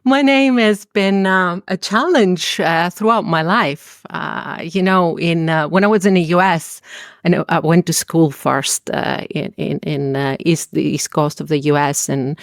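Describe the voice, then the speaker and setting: A middle-aged woman from Greece, chatting as a podcast guest, warm and storytelling, with frequent fillers and restarts. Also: low-pitched, dry recording, studio quality. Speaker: podcast guest, tech founder. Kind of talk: conversational interview.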